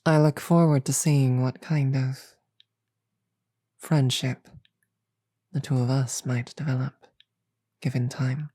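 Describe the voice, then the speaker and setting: A regal demon queen played by a woman in an audio drama speaks very slowly and deliberately, with teasing, magnanimous command. Her voice is dark and husky, with an amused drawl, recorded close and dry. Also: rumbling low, little pitch movement, no echo, heavily acted. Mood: warm, magnanimous. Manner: slow, deliberate, amused drawl with soft chuckles.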